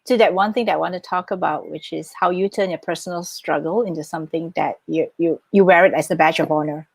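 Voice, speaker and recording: A woman's voice: lowish voice, steady tone, fast talker, creaky, breathy edge, no room sound. A woman hosting an interview, chatty and encouraging as she asks her guest questions. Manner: chatty, encouraging, laughs easily. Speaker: host. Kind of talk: live-streamed interview.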